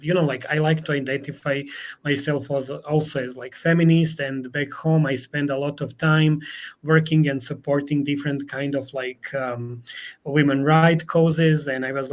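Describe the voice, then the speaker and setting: Hesitant man with a Serbian accent. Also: on the high side. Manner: hesitant, many fillers and restarts. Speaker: guest. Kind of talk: interview answer.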